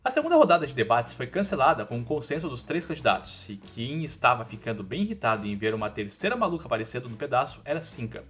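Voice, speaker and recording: A man's voice: medium pitch, big pitch swings, quick pace, hardly any pauses, fairly bright tone, husky, soft background music. A man with a Brazilian accent narrating a scripted comic recap in an informal, slangy storytelling manner.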